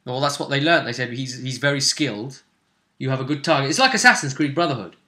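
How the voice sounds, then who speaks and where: A man telling the story of a tabletop role-playing session as a host, in a casual, halting way, acting out bits of dialogue as he goes. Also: medium pitch, animated, pushed and bright.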